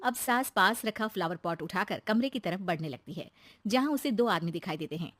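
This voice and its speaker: A woman's voice: medium pitch, lively intonation, a little fast, fairly bright tone, dry recording, faint music behind. A woman reading scripted narration for a children's story as the storyteller.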